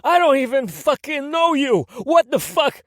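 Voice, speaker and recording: A man's voice: extremely high pitch, very animated, unhurried, no echo, studio quality, pitched above the performer's own voice, heavily acted. A man putting on a screaming, cornered man. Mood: furious panic. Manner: screaming, profane. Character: trapped, furious, panicking man.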